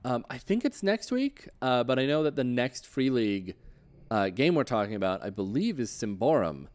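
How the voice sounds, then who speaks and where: A man hosting a casual online interview show about tabletop games, speaking in a halting, hesitant way. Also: mid-range voice, very animated, a little mellow.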